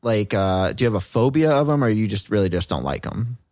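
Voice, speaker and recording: A man's voice: lowish voice, animated, a little fast, a little mellow, no room sound, studio quality. A man co-hosting a podcast, talking in a casual, conversational style. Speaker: podcast co-host. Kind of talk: casual chat.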